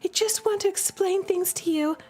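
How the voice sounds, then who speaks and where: A woman voicing a fierce teenage girl who gives sharp, commanding orders in a game read-through. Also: moderate pace. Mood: shrill, urgent. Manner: shrill, commanding. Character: fierce teenage girl, protective older sister.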